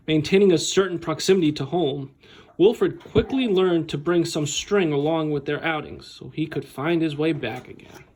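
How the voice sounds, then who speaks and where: High-pitched man reading a children's story. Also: a little mellow. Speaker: school staff member reading a picture book. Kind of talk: expressive children's story read-aloud.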